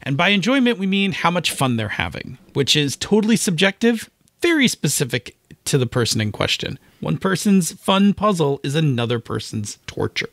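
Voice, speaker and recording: A man's voice: fairly high pitch, big pitch swings, normal speed, fairly bright tone, no room sound. A man co-hosting a podcast, explaining things methodically and spelling out definitions into a close microphone. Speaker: podcast co-host.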